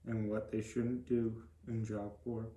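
A man's voice: lowish voice, level tone, slow, warm tone. A young adult man giving plain, brief answers as a guest in a casual interview. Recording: roomy echo.

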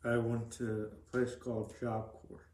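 A young man answering interview questions casually as a guest, in a halting, plain-spoken way. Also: mid-range voice, completely flat delivery, darkish tone, roomy sound, a little hiss or hum. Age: young adult. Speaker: podcast guest.

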